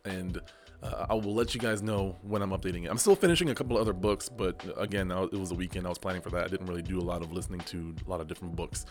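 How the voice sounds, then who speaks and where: A young adult man hosting a casual solo podcast, hoarse from losing his voice, rambling and correcting himself as he goes. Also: sits low, fairly even intonation, very fast. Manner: rambling, self-correcting, laughs often. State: hoarse, lost voice. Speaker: podcast host and esports coach.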